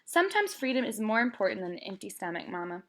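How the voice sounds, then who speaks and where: A woman's voice: medium pitch, sing-song, fast talker. A woman reading as a kind immigrant father. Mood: gentle.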